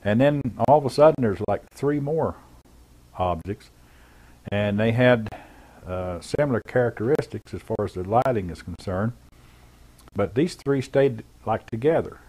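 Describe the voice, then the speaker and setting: A man recounting his experience as an eyewitness in an unscripted, halting, plain-spoken and matter-of-fact way. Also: fairly low pitch, expressive, darkish tone, poor audio. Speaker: former air traffic controller giving an eyewitness account. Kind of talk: unscripted first-person recollection.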